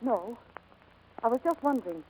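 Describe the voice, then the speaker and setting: A woman's voice: on the high side, expressive, moderate pace, warm tone, husky, low-quality recording. A woman acting a proud, guarded American aviator in dramatic, emotionally intense 1940s radio style. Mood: anxious, hesitant.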